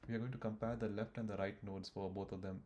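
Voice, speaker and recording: A man with a lowish voice walks through code as he writes it, thinking aloud with fillers and restarts. Faint keyboard clicks sit underneath. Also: level tone, fast, warm tone, a little hiss or hum. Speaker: coding tutor. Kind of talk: screen-recorded coding walkthrough.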